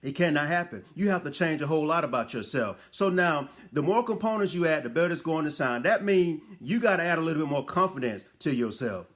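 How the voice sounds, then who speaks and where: A man with a somewhat high-pitched voice delivers a motivational talk. He exhorts like a preacher, speaking straight to the listener with emphatic repetition and rhetorical questions. His phrasing is colloquial and folksy. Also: expressive, fairly quick, hardly any pauses, a bit bright.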